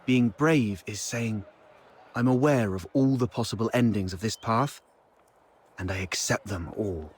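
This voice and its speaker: Low-voiced, shrewd, cautious game companion. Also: male voice, a little slow, breathy edge. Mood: calm, earnest. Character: calm, shrewd, cautious male companion and ally. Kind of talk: video game voice acting.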